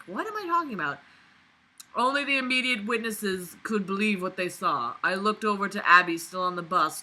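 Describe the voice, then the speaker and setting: Sweary, sharp bright-voiced woman. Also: medium pitch, poor audio. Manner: sweary, sarcastic, indignant asides. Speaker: vlogger reading and critiquing a novel. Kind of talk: book reading with exasperated running commentary.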